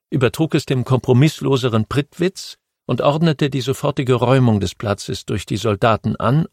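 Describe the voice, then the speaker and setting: A man narrating a history audiobook in a measured, steady storytelling manner. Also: medium pitch, gravelly, no echo, studio quality.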